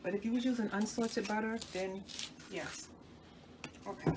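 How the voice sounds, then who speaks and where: A woman's voice: medium pitch, fairly even intonation, moderate pace, background noise. A woman hosting a cooking channel, chatting casually to viewers as she demonstrates a recipe in her home kitchen, sounding a little stuffy from a cold and often correcting herself. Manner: chatty, talks to viewers while working, self-correcting.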